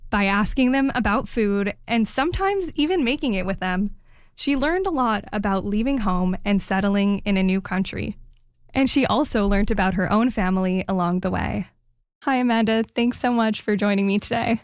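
A woman's voice: mid-range voice, normal speed, clear, resonant tone, no echo, studio quality. A woman hosting an interview show, reading a scripted introduction and then asking friendly questions with a casual "like" here and there. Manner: friendly, uses filler "like" in questions. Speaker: radio show host.

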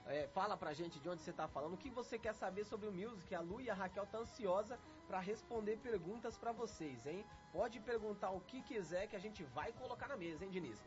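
A Brazilian man with a high voice hosts a chatty radio programme. He talks straight to his listeners in a lively way. The sound is slightly muffled, with music playing clearly behind him. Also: brisk, few pauses. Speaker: radio host. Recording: muffled band-limited sound over loud music.

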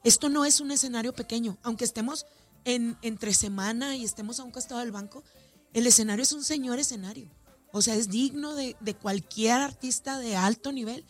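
A woman's voice: fairly high pitch, fairly quick, soft background music. A woman with a northern Mexican accent speaking as an event organizer in a conversational interview, talkative and emphatic, repeating phrases for stress. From Ciudad Juárez, Mexico. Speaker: festival organizer being interviewed. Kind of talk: conversational radio or media interview.

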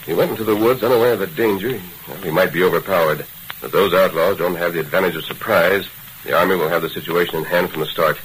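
A brave masked cowboy hero, low voice, fast and steady, old radio show feel. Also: male voice, a little hiss or hum, poor audio. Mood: determined. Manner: firm, steady and commanding. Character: bold masked male hero, commanding and calm. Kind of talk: 1940s radio acting.

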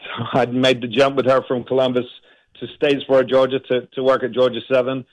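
Man with a flat, steady voice. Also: mid-range voice, a little fast, low-quality recording. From England. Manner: grateful, earnest, flowing storytelling. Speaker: basketball coach guest. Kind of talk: radio interview answers.

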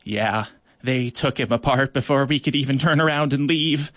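A man's voice: medium pitch, very animated, measured pace, a bit bright, no echo, studio quality, full character voice. A man voicing a worried female worker ant who quivers as she talks.

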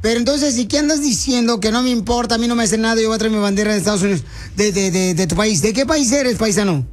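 A man's voice: high voice, fast talker. A man hosting a heated call-in radio show, mocking and confronting a caller.

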